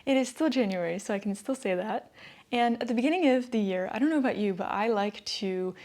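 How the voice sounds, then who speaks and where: A woman's voice: fairly high pitch, fairly quick, a little mellow. A woman from the northeastern United States with an American accent, talking casually to camera about her sewing plans and laughing lightly between phrases. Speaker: sewing vlogger. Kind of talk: casual vlog talk to camera.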